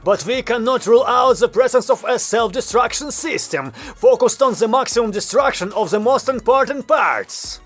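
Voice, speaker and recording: An eager, dutiful Soviet woman officer as a male storyteller plays her, pitched high. She reports in clipped, deferential military phrases with lively swings in pitch, easily flustered. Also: moderate pace, hardly any pauses, faint music behind, heavily acted. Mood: earnest, formal.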